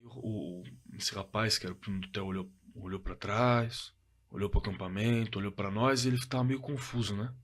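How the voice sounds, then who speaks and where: A man with a Brazilian accent playing a shaken young man recounting a frightening night in a halting, colloquial way, in a horror audio drama. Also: on the low side, steady tone, lightly acted. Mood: uneasy. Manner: halting, repeating words, colloquial. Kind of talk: dramatized first-person horror story, told conversationally.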